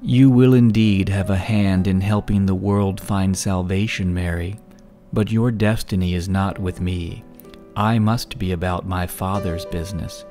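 A gentle, patient man speaks softly and slowly, each line measured, as the narrator lowers his voice for him. The voice sits lower than the narration. Faint music plays underneath. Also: steady tone. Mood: calm. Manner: soft, measured, slow. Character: calm, gentle grown man, patient teacher.

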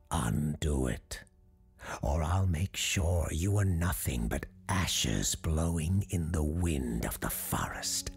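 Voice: sits low, gravelly, heavily acted. A performer voicing a stern, commanding old woman of magical power in an audio storybook, curt and scolding.

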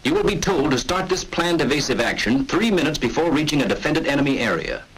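A man giving scripted, measured and authoritative narration for a 1940s military training film. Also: fairly high pitch, animated, breathy edge, poor audio.

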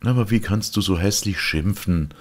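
A man's voice: sits low, moderate pace, voice pushed up. A man voicing a poor, henpecked woodcutter husband who pleads and placates in a folktale reading. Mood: pleading. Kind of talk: storybook character voice in a folktale reading.

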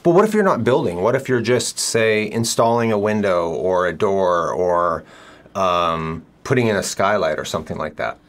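Low-voiced middle-aged male builder host. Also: lively intonation, moderate pace. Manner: conversational, probing questions with many fillers. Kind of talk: interview, casual questioning. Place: indoor office room.

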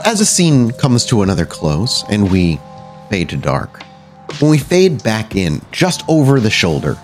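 A man narrating a tabletop roleplaying game as the game master. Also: low voice. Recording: music behind the voice.

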